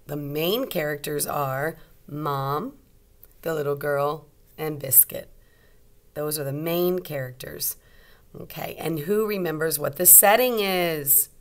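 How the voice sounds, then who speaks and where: A woman teacher reading a storybook aloud to young children, expressively, pausing to explain and ask simple questions. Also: low voice.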